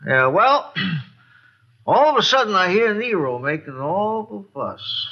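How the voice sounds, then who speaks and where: A man's voice: high voice, measured pace, heavily acted. An actor playing a folksy, boozy older American man who rambles and repeats himself in an old radio drama. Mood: rambling, excitable. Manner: rambling, repetitive, folksy, excitable. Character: rambling, boozy, folksy male neighbor witness. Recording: dull, lo-fi old radio recording.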